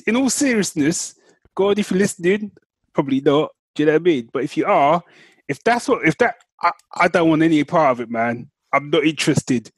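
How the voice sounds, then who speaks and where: A man co-hosting a podcast, chatting casually in an animated, slangy way. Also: on the high side, husky, no room sound. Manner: animated, informal, slangy, swears freely. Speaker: podcast co-host. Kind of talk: casual chat.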